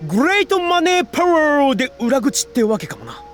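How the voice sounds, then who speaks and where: Very high-voiced cheeky schoolboy. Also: male voice, big pitch swings, fairly quick, a bit bright, soft background music, exaggerated voice. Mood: skeptical, gossipy. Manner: rough, slangy boyish talk. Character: cheeky, skeptical schoolboy in a visual novel.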